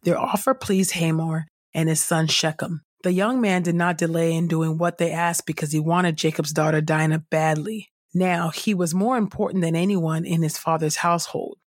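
A woman reading scripture aloud as a podcast host, in a measured, clearly enunciated audiobook style. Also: deep voice, fairly even intonation, no room sound, clean studio sound.

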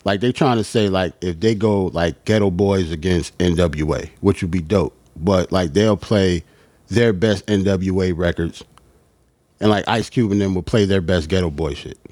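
A man in casual podcast banter, slangy and animated, stretching out words for emphasis. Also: low voice, normal speed, no echo.

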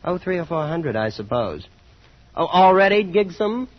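A man's voice: a little high, big pitch swings, normal speed, no room sound. An actor playing a blasé, very rich young man who boasts casually and callously in a 1940s radio drama. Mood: casually boastful. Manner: nonchalant, offhand. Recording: dull, lo-fi 1940s radio recording.

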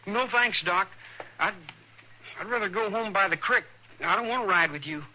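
A performer playing a shy, frightened country boy who speaks haltingly, in 1950s radio drama style. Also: high-pitched, bright, forceful tone, exaggerated voice, poor audio. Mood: nervous. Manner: hesitant, halting.

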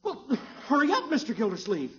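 A man's voice: very high voice, huge pitch swings, a little fast, fairly bright tone, full character voice. An eager, dazed young father. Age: young adult. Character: earnest, dazed, overjoyed young new father. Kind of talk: 1950s radio situation comedy acting. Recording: muffled vintage radio recording.

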